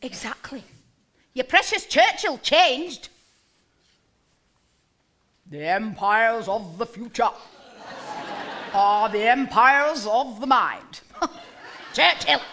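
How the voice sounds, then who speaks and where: An actress playing a sharp-tongued, mocking woman in a stage musical comedy. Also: on the high side, lively intonation, takes it slowly, long pauses, exaggerated voice. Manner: teasing, sarcastic.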